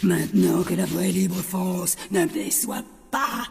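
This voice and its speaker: A woman playing a sinister, scheming cartoon villainess, demanding and coaxing, with a gravelly voice. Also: lowish voice, a little slow, darkish tone. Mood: menacing. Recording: long cavernous reverb, faint music.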